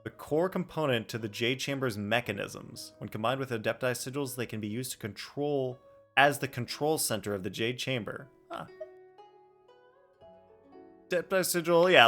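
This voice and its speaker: A man's voice: mid-range voice, lively intonation, normal speed, gravelly, fairly bright tone. A man streaming a video game, giving casual running commentary as he plays. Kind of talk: casual live gameplay commentary. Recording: game music behind.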